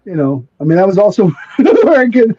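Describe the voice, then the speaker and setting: A man's voice: sits high, sing-song, moderate pace, breathy edge. A man whose first language is Spanish, chatting casually in a laid-back, slangy way, often repeating words as he feels for the thought. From near Mexico, spent most of life in Mexico. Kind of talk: casual spiritual conversation. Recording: dull lo-fi sound.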